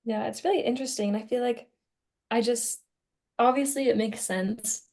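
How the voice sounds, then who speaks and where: A woman's voice: on the high side, fairly even intonation, moderate pace, darkish tone. A young woman talking casually as co-host of a student podcast discussion. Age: young adult.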